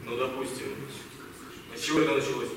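A man's voice: mid-range voice, fairly even intonation, fast, lightly acted. A man acting in an amateur audio play as a stern, authoritative army captain with clipped military delivery. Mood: concerned, questioning. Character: stern army captain commanding a wartime women's reconnaissance squad. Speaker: amateur theatre actor. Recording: very echoey hall, distant mic, faint noise.

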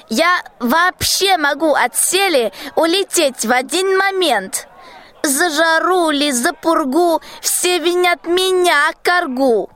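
Woman doing sly old witch in a fairy-tale radio play, super high, slow and wheedling. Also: fairly bright tone, exaggerated voice. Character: sly, self-justifying old witch Baba Yaga. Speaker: school student actor.

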